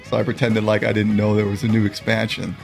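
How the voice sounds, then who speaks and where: Gravelly, low-voiced man chatting casually. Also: completely flat delivery, fast talker, soft background music. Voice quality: raspy, gravelly. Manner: laid-back, rambling, repeats words. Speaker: gaming show co-host. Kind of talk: casual unscripted chat about a card game.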